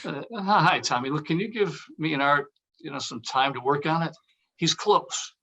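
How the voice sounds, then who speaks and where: Casting: cheeky, inappropriate male pal, played by a man, fairly high voice, comedy script read. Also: normal speed, gravelly, pushed and bright, breathy edge, exaggerated voice. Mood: covering awkwardly. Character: brash, teasing male buddy, inappropriate but quick to cover.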